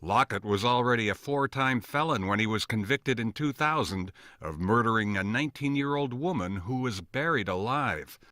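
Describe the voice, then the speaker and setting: A man's voice: mid-range voice, animated, moderate pace, hardly any pauses, bright, forceful tone, no echo, studio quality. A man giving a scripted report as a news correspondent.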